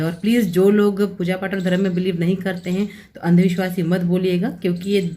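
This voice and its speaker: A woman's voice: fairly low pitch, a little mellow, a little hiss or hum. Chatty woman vlogging at home. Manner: talkative, explains at length, rambling asides. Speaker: family vlogger. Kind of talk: casual chatty vlog.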